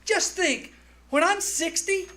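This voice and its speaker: Woman playing a high-voiced lovesick teenage boy. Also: slow, fairly bright tone, exaggerated voice. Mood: earnest, insistent. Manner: stammering, dreamy, pleading. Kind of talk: 1940s radio comedy acting. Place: live on stage in a small theater.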